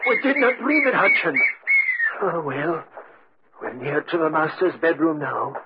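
A man's voice: on the high side, animated, a little slow. A man playing a frightened old castle manservant in melodramatic 1940s radio acting style. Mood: fearful. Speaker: actor in radio drama. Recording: muffled, noisy old radio recording.

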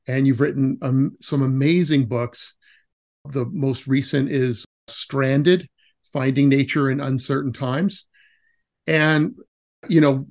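A man hosting a podcast interview, talking in a friendly, chatty way with quick bursts and fillers. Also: medium pitch. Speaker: podcast host.

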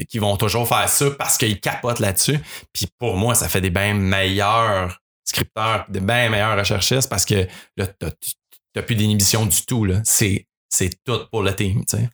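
A man's voice: lowish voice, moderate pace, a bit bright. A young man with a Québécois accent, a radio writer chatting as a podcast guest, rambling with many fillers and restarts. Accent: Québécois French. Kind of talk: casual podcast chat.

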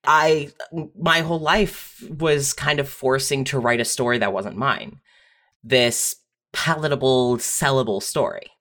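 Candid, casual medium-pitched podcast guest. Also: a little slow, fairly bright tone. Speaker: podcast guest, audio fiction writer.

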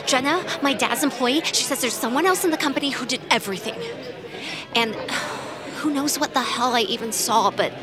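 A tipsy, stubborn young woman, acted by a woman in an audio drama. Her voice is high and exasperated, stumbling over insistent points with music clearly playing behind her. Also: normal speed, natural voice. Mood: insistent, upset. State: slightly drunk. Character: tipsy, defiant young woman, sarcastic and bewildered.

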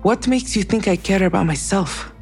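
A woman's voice: mid-range voice, moderate pace, a little mellow, close to an everyday voice. A woman playing a jaded, skeptical character in an audio drama, with a probing, detached manner. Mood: skeptical, needling. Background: music.

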